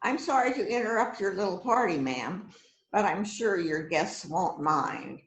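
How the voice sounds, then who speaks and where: Shrewd detective boss, acted by a woman, slow delivery, swooping pitch, amateur mystery play, musing, self-assured. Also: lively intonation, a crowd in the background, natural voice. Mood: confident. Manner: deliberate, musing with frequent hmms. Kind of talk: amateur readers theater murder mystery. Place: indoors on a virtual event call. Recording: roomy echoing video call sound.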